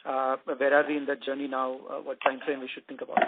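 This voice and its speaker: Fast-talking man analyst on phone line. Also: medium pitch, monotone. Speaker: analyst asking questions. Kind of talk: quick question on an earnings call. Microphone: phone call. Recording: phone line with faint noise.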